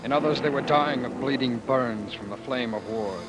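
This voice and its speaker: A man's voice: medium pitch, moderate pace, lightly acted. An actor playing a haunted, intense man narrating his flight from war, brooding and theatrical, in an old radio drama. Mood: bitter intensity. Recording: vintage radio recording with music behind.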